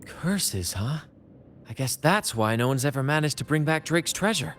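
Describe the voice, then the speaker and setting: A man's voice: on the high side, lively intonation, moderate pace, a bit bright. A man voicing a confident, theatrical young adventurer in a video game. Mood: musing.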